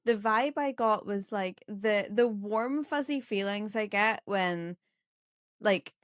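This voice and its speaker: Brief: woman, mid pitch, soft and gushing podcast co-host, dry sound. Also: slow pace, no room sound. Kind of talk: casual podcast chat.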